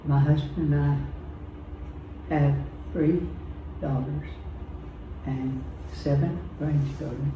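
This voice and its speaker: A woman's voice: sits low, fairly even intonation, slow, pauses often. An older woman plainly introducing herself and her family in a matter-of-fact way. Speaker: interviewee. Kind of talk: casual self-introduction. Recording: echoey, dull, noisy room.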